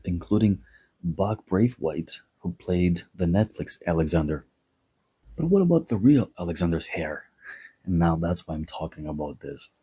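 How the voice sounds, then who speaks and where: A man from Greece talks through a history commentary in a sardonic, opinionated way. His voice sits low and dark, with a husky, breathy edge. He leans on wry, mocking asides. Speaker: history video host.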